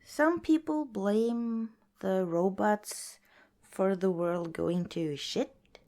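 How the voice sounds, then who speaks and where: A woman playing a kind, cautious young scavenger in an ASMR roleplay, speaking softly and hesitantly, as if chatting to the listener. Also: mid-range voice, drawn-out words. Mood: candid, relaxed. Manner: soft, hesitant, conversational, with sighs and small laughs.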